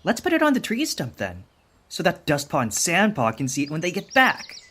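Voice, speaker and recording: A man plays a greedy young tomcat apprentice who grumbles and whines. His voice is high for a man and swings widely in pitch, with a husky edge. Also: brisk, heavily acted. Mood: eager. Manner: lively, whiny. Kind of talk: fan audiobook voice acting.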